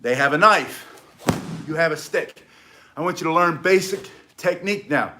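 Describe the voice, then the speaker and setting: A middle-aged man running a self-defense lesson with a slightly high voice. He talks in quick, punchy bursts, counting out strikes and joking in between, chatty and full of energy. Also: noisy background. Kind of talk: casual instructional demonstration.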